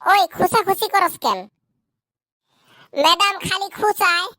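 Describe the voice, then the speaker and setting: A woman voicing a sulky schoolboy, very high and bright. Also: a little slow, no echo, studio quality, full character voice. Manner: sulky, rough-spoken. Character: sulky mischievous schoolboy who sings. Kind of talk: cartoon dub acting.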